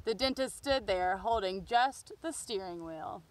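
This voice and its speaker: A woman's voice: mid-range voice, measured pace, low-quality recording. A woman reading a picture book aloud expressively for young children. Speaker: children's storybook reader.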